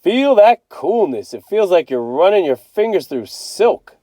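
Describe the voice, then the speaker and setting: A man voicing an easygoing, playful father in a storybook, savoring his words with gentle teasing. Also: high voice, very animated, measured pace, no room sound, clean studio sound, pitched above the performer's own voice. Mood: delighted wonder. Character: easygoing, playful, dreamy family dad.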